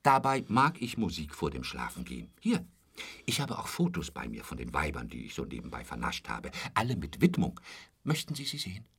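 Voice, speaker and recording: An actor in a radio drama playing a talkative, intrusive, lecherous hotel roommate who boasts and pities himself in a pestering way. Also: male voice, very deep voice, wildly swooping pitch, brisk, a bit bright, exaggerated voice. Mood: nosy, animated. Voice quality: husky, dropping into whispers. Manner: garrulous, pestering, repeats pet phrases.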